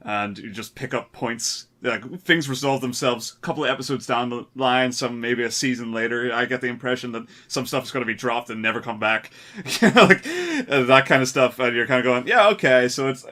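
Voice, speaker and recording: A male podcast co-host with a middle-pitched, very bright voice. He talks casually and animatedly, wandering through his thoughts and swearing freely. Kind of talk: casual film and TV podcast chat.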